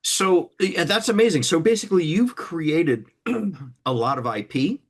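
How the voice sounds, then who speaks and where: A man's voice: a little high, lively intonation, a little slow. A man asking questions in a casual, conversational interview.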